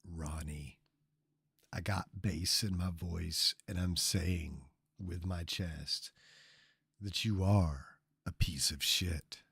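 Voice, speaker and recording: A slow-talking male streamer giving scornful reaction commentary full of swearing and mockery. The sound is dry and close to the mic. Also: low voice, fairly even intonation, vocal fry, a bit bright, breathy edge, dry recording. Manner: scornful, swears heavily, mocking.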